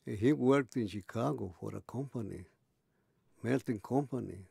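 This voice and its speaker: Elderly man in his eighties, gravelly and mellow, halting storyteller. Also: medium pitch, no room sound. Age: 80s. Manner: halting, many restarts and pauses. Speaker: interviewee. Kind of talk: oral history recollection.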